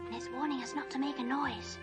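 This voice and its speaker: Very high, eager young girl played by a woman. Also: steady tone, a little fast, lightly acted. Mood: excited, hushed. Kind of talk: children's fantasy audio drama acting. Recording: music behind the voice.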